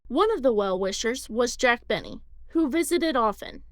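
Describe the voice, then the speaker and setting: A woman's voice: a little high, expressive, normal speed, no echo. Woman narrating, dry close sound. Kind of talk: scripted documentary narration.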